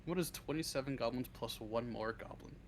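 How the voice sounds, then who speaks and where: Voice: medium pitch, fairly quick, a little hiss or hum. An interview guest chatting casually on a livestream, joking and self-deprecating, with some swearing. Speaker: interview guest, script writer.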